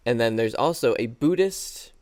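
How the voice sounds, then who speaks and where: A man's voice: medium pitch, animated, normal speed, a little mellow. A man co-hosting a podcast, explaining a video in an easygoing, casual way. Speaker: podcast co-host.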